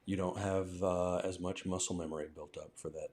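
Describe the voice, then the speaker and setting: A man with an American accent and a very low voice talks through language questions on a live stream. He speaks casually, working out his thoughts as he goes and adding little checking tags. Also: little pitch movement, fairly quick, a little mellow. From southern United States. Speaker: language teacher hosting a livestream.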